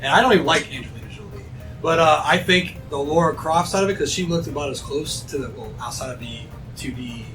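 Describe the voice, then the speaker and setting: A man's voice: fairly high pitch, quick pace, recorded from a distance, poor audio. A man hosting a casual fan panel, chatting in a rambling, opinionated, joking way. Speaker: host of a fan discussion panel. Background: music.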